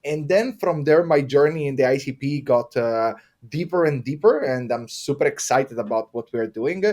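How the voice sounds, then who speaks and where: A man with an Italian accent, a podcast guest telling his story in casual, enthusiastic talk with frequent pauses. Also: mid-range voice, darkish tone. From Italy.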